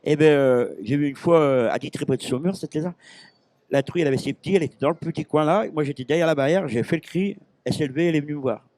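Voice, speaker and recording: A man's voice: a little high, fast talker, a little mellow, dry recording. A man in his fifties from Normandy, a guest chatting in a rambling, hesitant way with many restarts, on a handheld mic at a busy fair. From Normandy, France. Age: 50s. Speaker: interview guest, pig-call champion. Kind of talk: casual chat. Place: busy agricultural fair stand.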